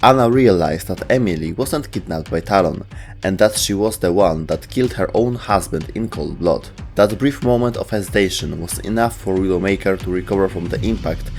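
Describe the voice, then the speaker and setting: A man with a fairly low voice reads scripted story narration as a narrator. Faint music plays behind him. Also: moderate pace, a little mellow. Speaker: narrator of game lore video show.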